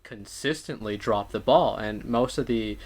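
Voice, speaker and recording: A man giving casual political commentary in a measured, wordy way, often qualifying what he says. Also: on the low side, a little mellow.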